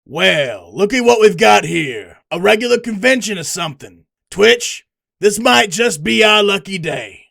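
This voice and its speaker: A blustering, sardonic police detective acted by a man talks in a high voice for a man, with a gravelly rasp. He is cocky and bossy, as heard in a comic dub. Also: expressive, measured pace, pushed and bright, heavily acted. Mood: wry. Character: brash, sarcastic, cocky male police detective.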